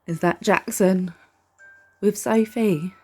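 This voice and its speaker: A woman reads a picture book aloud expressively, gently reassuring young listeners and putting questions to them. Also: medium pitch, takes it slowly, husky, faint music behind. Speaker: storybook reader for young children.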